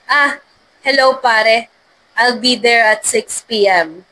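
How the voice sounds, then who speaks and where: A woman voicing a disbelieving, hurried male company boss in a dramatized story reading. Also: a little high, sharp tone, slight background noise, rough recording. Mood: guarded.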